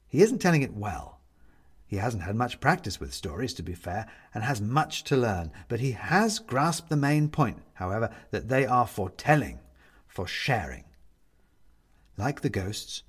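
Expressive male storyteller, widely swooping pitch. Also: breathy edge. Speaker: audiobook narrator.

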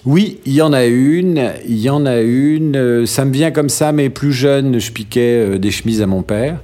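A middle-aged man from France in an interview, talking thoughtfully with frequent "euh" pauses and restarts and some dry humor. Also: medium pitch, dark tone. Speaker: interview guest. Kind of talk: hesitant conversational interview.

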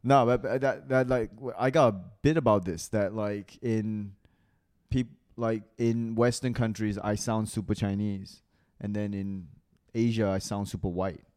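A man in his thirties with an international school accent talks in a slightly low voice. He is a comedian from Malaysia, raised in Singapore, and chats in an animated way, laughing often and swearing freely. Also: measured pace, smooth. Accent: international school English. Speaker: comedian guest. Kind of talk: casual chat.

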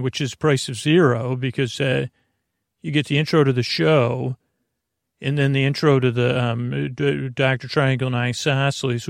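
Creaky-voiced man rambling through a bedtime podcast. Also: mid-range voice, no echo, studio quality. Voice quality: creaky, gravelly. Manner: meandering, halting, trails off into tangents and self-corrections; gentle, reassuring. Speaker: podcast host.

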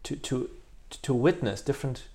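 A man's voice: mid-range voice, expressive, moderate pace, dark tone. A man from Belgium in his forties, speaking as a podcast guest in a reflective way, with many restarts and repeated words. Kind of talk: reflective interview chat.

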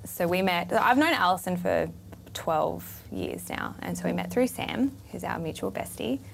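A woman's voice: fairly low pitch, animated, moderate pace, creaky, a little hiss or hum. A woman, chatting animatedly with an old friend and asking her questions, with many fillers. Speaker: interviewer. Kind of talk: casual conversation. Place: event venue.

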